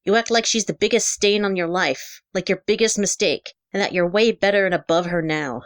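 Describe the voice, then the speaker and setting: A woman's voice: medium pitch, a little fast, no room sound, clean studio sound, pitched above the performer's own voice, lightly acted. Woman acting a hurt, defiant teenager, raised voice. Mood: accusing. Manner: emphatic, accusing. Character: hurt, defiant teenage child confronting a parent. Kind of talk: heated character dialogue in a narrated audio story.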